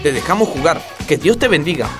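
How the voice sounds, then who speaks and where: An actor playing a pompous, silly detective boss in a parody radio play, with theatrical mock solemnity. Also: male voice, on the high side, lively intonation, fast, natural voice. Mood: mock-serious. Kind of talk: parody radio play acting in neutral dubbing Spanish. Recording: music behind the voice.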